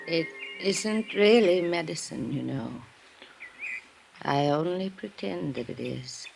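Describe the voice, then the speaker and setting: A woman's voice: lowish voice, expressive, slow, creaky, a little mellow, heavily acted. A woman playing a frail, vague middle-aged mother, secretive and apologetic, in a 1950s radio drama. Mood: dreamy, confiding. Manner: drifting, apologetic, genteel. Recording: noisy old radio recording.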